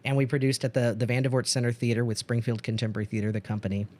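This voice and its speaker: A man's voice: mid-range voice, flat delivery, racing, darkish tone. A man hosting a podcast in casual conversation, speaking enthusiastically and gushing about the things he loves. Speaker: podcast host and producer.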